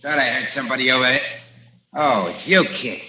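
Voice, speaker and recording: A man's voice: medium pitch, fairly quick, pushed and bright. An actor playing a brash, menacing bank robber with slangy tough-guy talk in a 1940s radio drama. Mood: sneering menace. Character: brash, menacing machine-gun bank robber, gang leader. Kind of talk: 1940s radio gangster acting. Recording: echoey 1940s radio recording.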